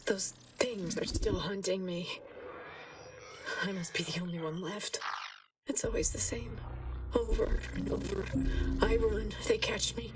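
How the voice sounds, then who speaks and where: A woman's voice: mid-range voice, a little slow, pauses often, distant mic, lightly acted. An actress playing a haunted female scientist who cannot sleep, speaking in a shaken, breathless way.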